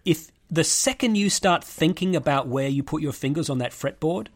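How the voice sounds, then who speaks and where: Man chatting casually on a podcast. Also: fairly high pitch. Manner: swearing, quick to react, animated storytelling. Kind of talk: casual chat.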